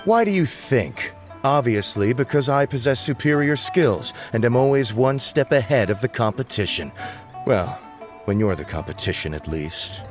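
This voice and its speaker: A man's voice: mid-range voice, lively intonation, normal speed, soft background music, lightly acted. An actor playing a smug, boastful young male informant in a game, who talks in a cocky, teasing way. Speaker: game character.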